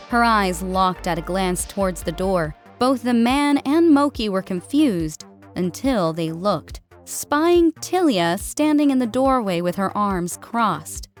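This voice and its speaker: Female audiobook narrator, mid-pitched, scripted fiction read over faint background music.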